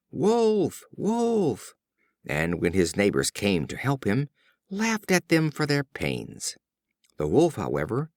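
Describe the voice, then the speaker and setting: A male audiobook narrator reads animal fables in a measured, storybook way. His pitch is highly animated, and the recording is dry and close with no room sound. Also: no echo. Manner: measured, storybook reading with acted character lines.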